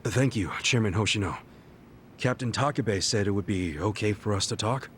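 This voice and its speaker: A man's voice: lowish voice, moderate pace, fairly bright tone, slight background noise, natural voice. A man voicing an easygoing young hero who talks in a casual, joking way. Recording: game audio with music behind.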